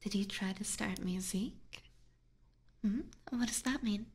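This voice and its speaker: A woman chatting softly in a cutesy, giggly manner, often near a whisper, close to a binaural microphone as an ASMR streamer. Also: medium pitch, unhurried. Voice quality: soft, breathy. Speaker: ASMR streamer and VTuber host. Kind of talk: soft ASMR livestream chat, partly whispered.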